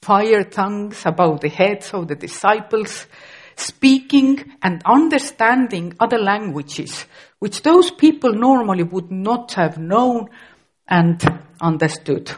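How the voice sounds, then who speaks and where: A woman's voice: medium pitch, lively intonation, slow, slightly rough. A middle-aged woman from Estonia, a native Estonian speaker with an Estonian accent, preaching a sermon carefully and with occasional restarts.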